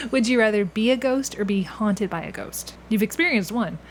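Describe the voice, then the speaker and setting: A woman's voice: medium pitch, lively intonation, moderate pace, slight background noise. A woman hosting a casual interview, asking questions in a conversational style. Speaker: podcast host, interviewer.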